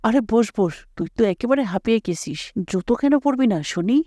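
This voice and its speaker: A woman's voice: a little high, expressive, fairly quick, dark tone, dry recording, studio quality. Caring young big brother acted by a woman. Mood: comforting. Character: caring, protective young village boy, older brother. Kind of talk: cartoon character acting.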